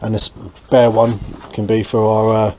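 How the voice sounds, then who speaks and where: A man's voice: flat delivery, unhurried, warm tone. A British man giving casual, hands-on vlog commentary, thinking aloud informally as he works on a small boat. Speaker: host of a boat restoration vlog. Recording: noisy ambient sound, engine-like rumble.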